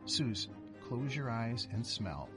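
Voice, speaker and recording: A steady young husband, voiced by a storyteller who coaxes and reassures his wife, his pitch moving a lot. Music plays beneath him in this audio drama. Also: takes it slowly. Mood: coaxing. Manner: reassuring, coaxing. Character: calm, upbeat young American husband. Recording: music underneath.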